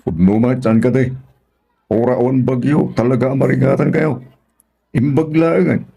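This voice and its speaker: Man playing a gravelly, concerned older Filipino uncle. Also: mid-range voice, steady tone, moderate pace, warm tone. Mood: sympathetic, gently chiding. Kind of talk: radio drama acting.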